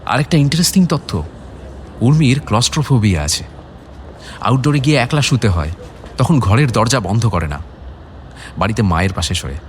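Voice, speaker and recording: Actor as matter-of-fact informant amid traffic noise. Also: male voice, mid-range voice, expressive, a little fast, long pauses, close to an everyday voice. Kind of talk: Bengali radio detective drama acting.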